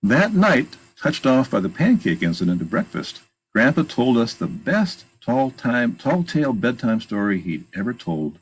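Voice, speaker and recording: A man with a gravelly, husky voice reads a picture book aloud to children, pausing to point out pictures and ask questions. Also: mid-range voice, low-quality recording. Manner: animated, emphatic read-aloud delivery. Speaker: children's storytime reader. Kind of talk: reading a picture book aloud with chatty asides.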